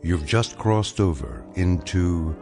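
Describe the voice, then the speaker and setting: A man performing as a grave, mysterious narrator of eerie tales, with deliberate, portentous delivery. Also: sits low, loud music behind the voice. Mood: ominous, intriguing. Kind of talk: scripted dramatic narration.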